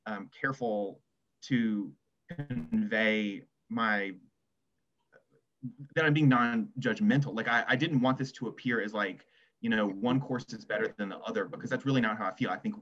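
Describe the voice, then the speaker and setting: A man's voice: fairly low pitch, fairly bright tone. A man talking casually and reflectively as a writer on a panel, hesitant and self-deprecating, over a lo-fi video call. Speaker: essay writer on a literary festival panel. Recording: dull, lo-fi video call audio.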